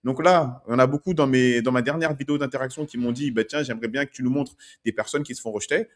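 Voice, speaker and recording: A man's voice: mid-range voice, fast talker. A man working as a dating coach, talking through video clips in a conversational way and often checking in with "ok?". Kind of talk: commenting on street-approach video clips.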